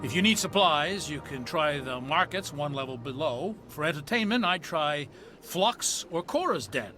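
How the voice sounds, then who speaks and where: A man's voice: on the high side, expressive, normal speed, bright, forceful tone, soft background music. A man playing a chatty, obliging attendant in a video game, with a gossipy way of talking. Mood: chatty, helpful.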